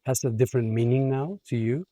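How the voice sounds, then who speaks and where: A man's voice: medium pitch, brisk, dark tone, no echo. A male radio host asks questions in a rambling way, often restarting his words and laughing. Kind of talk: interview conversation.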